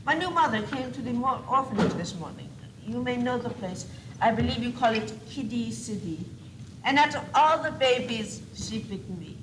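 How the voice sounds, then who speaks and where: Haughty baby boy doll, played by a female student, put-on French accent, theatrical and swooping, on an echoey, noisy old school tape. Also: fairly high pitch. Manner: pompous, theatrical. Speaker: student actor in a school play. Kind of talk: stage one-act comedy acting.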